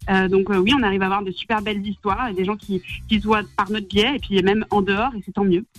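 A woman guest on a morning radio show, talking upbeat and quick about a charity event, with many fillers. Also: medium pitch, fairly even intonation, soft background music. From Mexican heritage, raised in France. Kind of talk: radio interview, casual.

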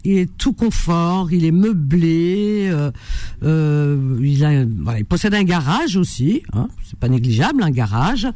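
A woman's voice: sits low, expressive, unhurried, dry recording. A woman from Algeria hosting a radio classified-ads show, chatting with lots of fillers and repetitions. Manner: warm chatty delivery with many fillers and repetitions. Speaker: radio host.

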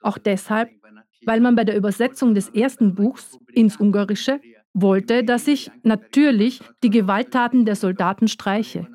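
A woman giving a measured, earnest first-person voice-over for a documentary. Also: medium pitch, warm tone. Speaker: voice-over speaker.

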